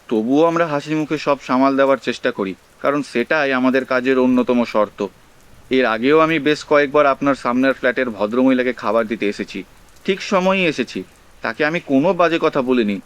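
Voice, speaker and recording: A man acting as an earnest food delivery rider in his twenties with a clear, resonant voice. He speaks in a polite, pleading way, explaining his troubles as in a radio play. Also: medium pitch, fast, close to an everyday voice. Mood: hesitant, earnest. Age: 20s. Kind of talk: audio drama acting.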